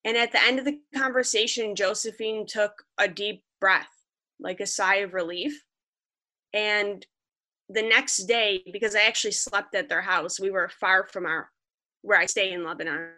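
A woman's voice: mid-range voice, steady tone, moderate pace, pushed and bright. A young woman, a freelance journalist from Western New York, earnestly recounting her reporting as a podcast guest. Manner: earnest, vivid storytelling, quoting others. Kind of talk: podcast interview, storytelling.

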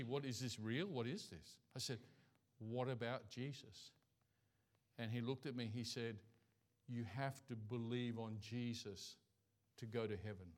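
An Australian man preaching a sermon as a missionary, spinning a personal story in a loose, conversational way. His voice is bright and forward, full of little fillers and restarts as he goes. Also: mid-range voice.

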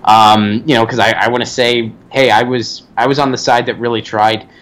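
A man guesting on a podcast as a stand-up comedian. He speaks at an ordinary mid pitch, animated and enthusiastic, and swears casually as he gets fired up. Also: normal speed, poor audio. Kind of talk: casual interview chat.

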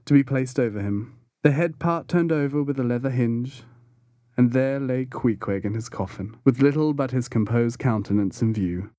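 A man's voice: medium pitch, warm tone, dry recording, studio quality. A man narrating a literary audiobook in a dramatic storytelling style.

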